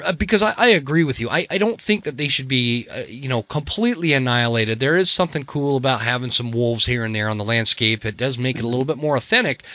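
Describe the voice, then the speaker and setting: Hoarse man ranting with a dry, dull sound. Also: mid-range voice, a bit bright, no room sound. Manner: heated, ranting, swears. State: hoarse from a cold. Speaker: podcast host. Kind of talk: casual interview chat. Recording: dry, muffled podcast audio.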